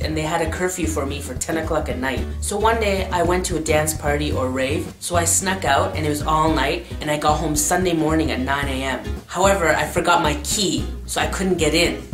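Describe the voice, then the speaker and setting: A man with an unusually high voice, telling quick, animated comedy stories in a vlog. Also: echoey room. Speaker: comedy YouTuber.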